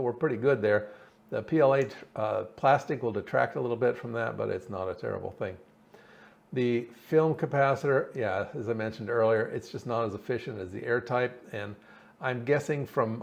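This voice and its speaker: A man with a fairly low voice presents a hobby electronics project in an informal, explanatory style. He speaks haltingly, as if working through his reasoning out loud. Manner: halting, thinking aloud. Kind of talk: informal technical explanation over slides and diagrams.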